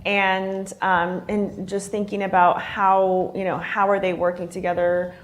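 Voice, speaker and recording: A woman on a conference panel explains her answer step by step. She pauses often on fillers as she thinks. Her voice sits at a middle pitch. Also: level tone. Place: conference hall.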